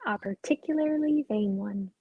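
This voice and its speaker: A woman's voice: mid-range voice, normal speed, husky, narrow, tinny audio, lightly acted. A woman acting a guarded, curt character bottling up her feelings in a dramatic script reading. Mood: guarded, distracted. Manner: clipped, evasive.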